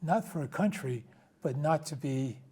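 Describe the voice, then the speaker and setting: A man's voice: on the high side, unhurried, a little mellow, slightly rough. A man in his eighties whose first language is Ukrainian. He is a guest in a casual interview, telling his story in a rambling way and often restarting and correcting himself. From United States. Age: 80s.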